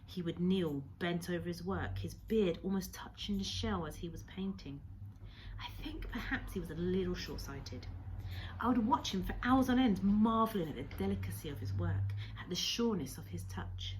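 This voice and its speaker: A woman reads a children's story aloud with a lot of melodic variation in a fairly low voice. Her phrasing is measured and emphatic, in the manner of a teacher at storytime. There is clear noise in the recording. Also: animated, poor audio. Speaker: teacher reading a story to pupils. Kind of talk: expressive children's audiobook-style storytelling.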